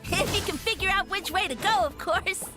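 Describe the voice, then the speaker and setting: A woman playing a boastful, pompous little male mushroom creature in an animated video game style. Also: very high voice, a little fast. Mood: taunting. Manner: pompous, taunting, theatrical. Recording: game music behind the voice.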